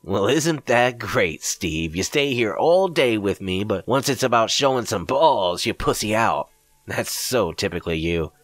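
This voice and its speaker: A man's voice: mid-range voice, wildly swooping pitch, moderate pace, creaky, fairly bright tone, breathy edge, dry recording, pitched above the performer's own voice, exaggerated voice. A man voicing his reckless, nonchalant friend, needling and matter-of-fact in a story reading. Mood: mocking.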